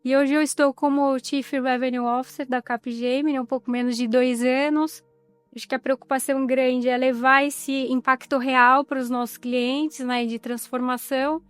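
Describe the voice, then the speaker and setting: A woman's voice: high-pitched, little pitch movement, moderate pace, fairly bright tone, clean tone, no room sound, soft background music. A woman speaking as a guest on an executive panel, courteous and thoughtful as she describes her career. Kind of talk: panel discussion.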